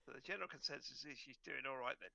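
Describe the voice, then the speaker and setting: A man acting as game master, narrating and moderating a tabletop game with halting pauses, on voice-chat audio. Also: medium pitch, vocal fry, bright, forceful tone, no echo. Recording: dull, dry voice-chat audio.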